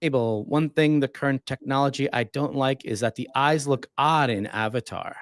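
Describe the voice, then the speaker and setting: A man's voice: mid-range voice, normal speed, no echo. Man hosting a casual interview, dry sound. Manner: rambling, thinks aloud with fillers. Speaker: host.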